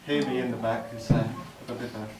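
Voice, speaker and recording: Hoarse groom, halting speech. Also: male voice, medium pitch, steady tone. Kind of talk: wedding speech. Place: large reception hall. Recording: roomy, distant, noisy home video.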